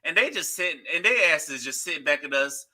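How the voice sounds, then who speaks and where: A man's voice: a little high, animated, brisk, bright, forceful tone. A man hosting a podcast, talking loosely in casual chat and swearing freely. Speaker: podcast host.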